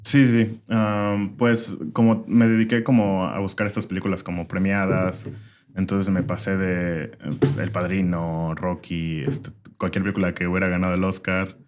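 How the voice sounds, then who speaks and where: A young man born in Venezuela talks in a very low voice, relaxed and unhurried. He fills his pauses with "este" as he tells his story in a casual interview. A faint hiss sits under the recording. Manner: relaxed, frequent "este" fillers. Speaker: podcast guest, former filmmaker.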